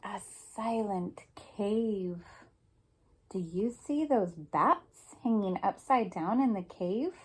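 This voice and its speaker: A woman's voice: fairly high pitch, slightly rough. A woman teacher reading a picture book aloud to young children, explaining simply and prompting them with questions.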